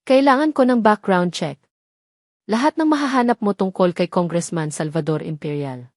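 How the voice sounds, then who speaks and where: A woman voicing a serious, protective male police officer with a firm, measured manner in an audio drama. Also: fairly low pitch, dry recording, clean studio sound, lightly acted.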